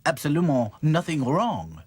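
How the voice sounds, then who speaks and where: A man's voice: on the high side, big pitch swings, a little slow, husky, dry recording, studio quality, voice pushed up, exaggerated voice. A man voicing a wistful male French doll with a put-on French accent, theatrical.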